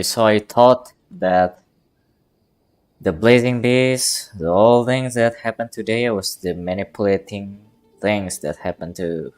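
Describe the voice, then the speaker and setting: A man who is not a native English speaker, giving casual live reactions as a game streamer, thinking aloud in halting, broken phrases. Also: on the low side, a little mellow.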